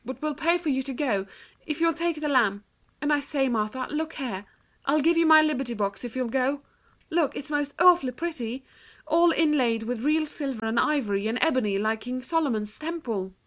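A woman's voice: high-pitched, normal speed, fairly bright tone, voice pushed up. A woman voicing an earnest, eager young girl who speaks politely and coaxingly in a children's storybook reading. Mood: earnest pleading. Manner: polite, coaxing, well-spoken.

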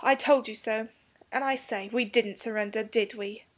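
A bold, bloodthirsty young boy, voiced by a woman, pitched fairly high. He is stubborn and brash, a commanding little storybook soldier. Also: fairly even intonation, moderate pace, close to an everyday voice. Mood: cocksure. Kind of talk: children's storybook character voice.

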